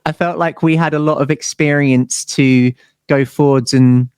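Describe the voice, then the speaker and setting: A man's voice: on the high side, fairly even intonation, normal speed, a little mellow, smooth, no echo. British guy, musician being interviewed, rambly informal chat, dry recording. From United Kingdom.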